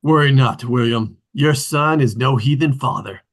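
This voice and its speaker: A man's voice: mid-range voice, moderate pace, vocal fry. A man reading the part of a family father in a scripted drama table read. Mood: playful, mock-solemn. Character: playful, mock-solemn family man and father.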